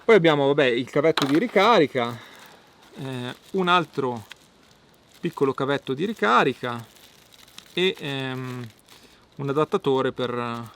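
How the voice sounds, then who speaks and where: A man giving an informal product review, explaining step by step with hesitant pauses. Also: medium pitch, animated, fairly bright tone, slight background noise.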